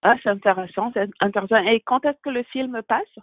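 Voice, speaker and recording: A woman hosting an interview podcast, asking questions conversationally with halting, repeated words. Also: fairly high pitch, no echo, narrow, tinny audio. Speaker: podcast host.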